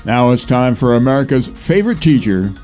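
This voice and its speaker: Male host, mellow dark tone, medium pitch, scripted show introduction, faint music behind. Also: lively intonation, a little fast.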